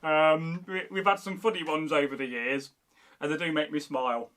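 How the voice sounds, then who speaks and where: Man, slightly high pitch, bright tone, meandering casual chat. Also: steady tone, normal speed. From Derby, England. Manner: rambling, meandering, many fillers and restarts. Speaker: history channel host. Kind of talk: casual chat reading viewer comments.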